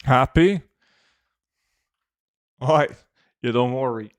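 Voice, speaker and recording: A young man streaming a game, chatting casually and answering viewer questions in a relaxed, offhand way. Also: medium pitch, takes it slowly, smooth, no echo, studio quality. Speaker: gaming streamer reading chat. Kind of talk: casual live gameplay chat.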